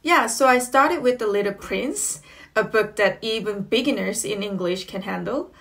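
A woman's voice: mid-range voice, moderate pace, gravelly, a bit bright. A woman in her thirties whose native language is Korean, giving casual tips to the camera from a small room at home, friendly, with fillers like "you know". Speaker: English learning vlogger.

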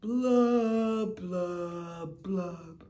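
Woman putting on a gloomy male fish, mopey drone, slow, storybook. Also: dark tone. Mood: glum. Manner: low, droning, mopey. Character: glum male pout fish, gloomy and sulky.

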